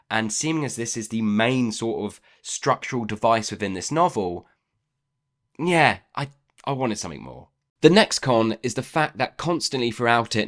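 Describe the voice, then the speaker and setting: A man's voice: fairly low pitch, a bit bright. A man giving a casual book review in a chatty, opinionated way, repeating words for emphasis.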